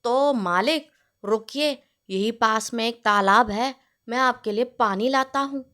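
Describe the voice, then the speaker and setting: Woman as a clever, patient gardener, lowered voice, slow. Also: pushed and bright. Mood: reassuring. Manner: respectful, explanatory. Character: clever, patient male gardener serving his master. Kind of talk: storybook character voice in narrated tale.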